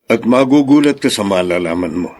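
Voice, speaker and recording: An actor playing a steady middle-aged male lawyer in a Filipino radio drama, speaking in a grave, measured way. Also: fairly low pitch. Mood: grave revelation. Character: steady, reassuring male attorney seeking justice.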